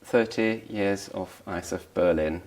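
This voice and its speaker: Podcast host asking brief questions. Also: male voice, low voice, unhurried. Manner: brief prompting questions and soft acknowledgements. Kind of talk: interviewing a guest.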